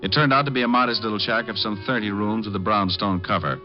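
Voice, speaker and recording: An actor playing a breezy, cocky private detective who teases and narrates wryly in an old-time radio drama. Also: male voice, lowish voice, fast, faint music behind, natural voice. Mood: wry narration. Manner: teasing, flippant, wry. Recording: muffled vintage radio recording.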